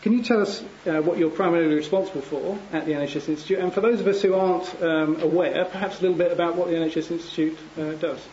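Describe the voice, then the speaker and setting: A man's voice: fairly high pitch, steady tone, fast, few pauses, darkish tone, dull audio. Male conference chair, hesitant delivery, light hiss behind. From England. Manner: hesitant, many ums and restarts. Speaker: panel chair. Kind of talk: conference panel introduction. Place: conference hall.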